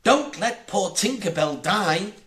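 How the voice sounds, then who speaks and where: Man voicing a bright, bold storybook boy hero. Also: sits high, expressive, slow, echoey room, voice pushed up, heavily acted. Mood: urgent pleading. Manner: urgent, declamatory. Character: bold, heroic adventurous boy of storybook fantasy. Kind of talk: storybook character voice.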